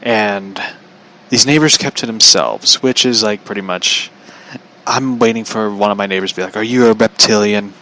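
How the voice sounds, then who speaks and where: An American man with a fairly low but bright, forward voice tells a story off the cuff. He rambles casually and talks bluntly, in loose slang peppered with swearing. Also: moderate pace, poor audio. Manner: chuckles often while talking. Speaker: show host and storyteller.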